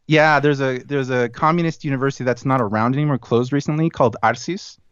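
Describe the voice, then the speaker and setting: A man's voice: mid-range voice, quick pace, a bit bright, smooth, dry recording. Man talking in a muffled dry recording. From United States and Chile. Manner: thoughtful, many fillers and restarts. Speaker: podcast guest. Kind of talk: casual conversation.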